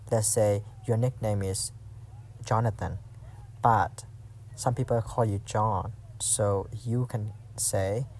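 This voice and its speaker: Guy teaching phrases, kinda low voice, repeats stuff over and over for practice. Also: darkish tone, slight background noise. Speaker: language teacher. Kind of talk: casual spoken language lesson.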